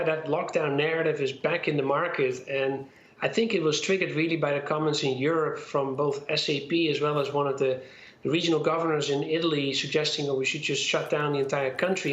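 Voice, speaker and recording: A man's voice: on the high side, a bit bright. A man appearing as a market strategist guest, answering thoughtfully with frequent hesitations. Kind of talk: remote interview. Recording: echoey lo-fi remote line.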